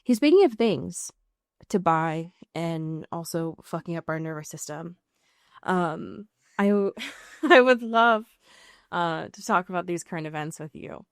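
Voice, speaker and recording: A woman's voice: lowish voice, animated, measured pace, smooth, dry recording, clean studio sound. A woman co-hosting a podcast in casual chat, slangy and emphatic, with plenty of swearing. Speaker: podcast co-host.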